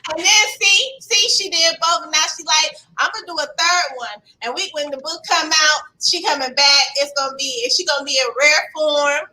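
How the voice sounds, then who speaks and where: A woman co-hosting a casual chat, excitedly retelling stories and acting out quotes. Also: sits high, normal speed, few pauses, slight background noise, low-quality recording. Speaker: co-host.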